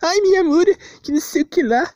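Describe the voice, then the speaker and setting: A man's voice: very high-pitched, lively intonation, fast, a little mellow, dry recording, voice pushed up. A man with a Brazilian Portuguese accent voicing a clingy, lovesick anime schoolgirl in a whiny, gushing, mocking way.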